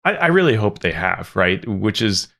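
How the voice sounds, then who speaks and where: A man's voice: lowish voice, sing-song, moderate pace, vocal fry, fairly bright tone. A man co-hosting a podcast, talking earnestly and critically with frequent restarts. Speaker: podcast co-host. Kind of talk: casual discussion.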